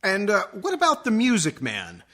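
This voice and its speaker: An actor playing a polite, hesitant arts show host interviewing a guest in a comic radio play. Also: male voice, sits high, wildly swooping pitch, moderate pace, pushed and bright, exaggerated voice. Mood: polite, baffled.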